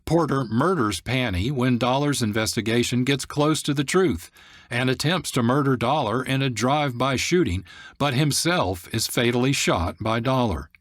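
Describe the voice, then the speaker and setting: A male radio host reads scripted narration in a measured, plain way. His voice sits in a middle range, recorded close and dry with no room sound. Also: medium pitch, no echo. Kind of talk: scripted narration, story recap.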